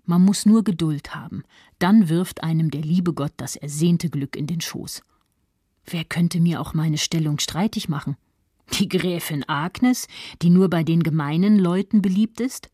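A low, husky female voice for a conceited small-town doctor's wife in middle age. She is self-important and spiteful, gossiping and gloating through a literary radio monologue, recorded very dry and close. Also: a little slow, dark tone, no echo. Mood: self-satisfied. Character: smug, spiteful doctor's wife, opportunistic 1930s social climber.

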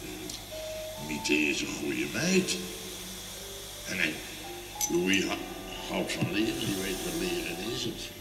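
An actor playing a middle-aged father in a television drama, halting and repeating himself as he thinks things through. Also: sits low, very animated, full character voice. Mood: thoughtful, scheming. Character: deliberate, scheming father of a family, given to maxims. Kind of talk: 1970s Dutch television drama acting. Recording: echoey, distant TV audio, loud music behind.

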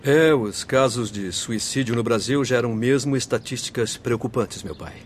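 Actor as earnest, eloquent Brazilian spirit doctor. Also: male voice, mid-range voice, normal speed, a little hiss or hum, lightly acted. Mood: earnest, solemn. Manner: formal, eloquent, solemn. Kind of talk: radio drama acting.

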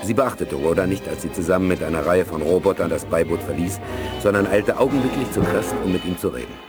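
An actor narrating a 1980s German radio drama as a composed storyteller, in scripted prose. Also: male voice, low voice, fast talker, natural voice. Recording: 1980s studio recording with music underneath.

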